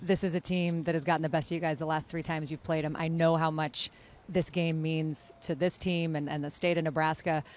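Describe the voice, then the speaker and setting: A woman's voice: sits low, flat delivery, brisk, darkish tone. A woman hosting a TV sports interview, asking chatty, upbeat, long-winded questions. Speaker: TV sports host.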